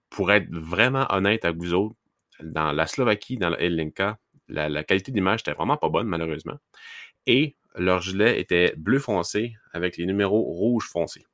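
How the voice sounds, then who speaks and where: Quebecois guy from lac-saint-jean, low voice, rambles a lot with lots of "euh", dead dry sound. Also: fairly bright tone, no room sound. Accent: Québécois French, Lac-Saint-Jean. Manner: rambling, many fillers and restarts, laughs at himself. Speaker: podcast host, hockey scout. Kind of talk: casual solo podcast talk.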